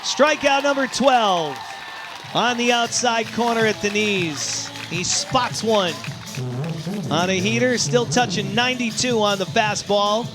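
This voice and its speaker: A male baseball announcer gives live play-by-play in a high, ringing voice. He takes his time between short, emphatic phrases, with a faint bed of sound behind the broadcast. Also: a little slow, over music, a crowd in the background. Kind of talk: live sports play-by-play commentary.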